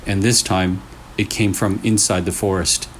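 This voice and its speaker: A man reading a scary story aloud in short, deliberate phrases with pauses for suspense. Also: low voice, fairly even intonation. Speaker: storyteller, channel host. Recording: clearly noisy room recording.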